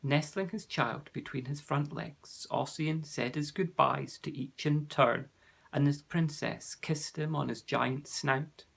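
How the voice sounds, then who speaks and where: A man reading a children's fantasy storybook aloud, with clear, expressive storytelling. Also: a little high, takes it slowly. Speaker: narrator.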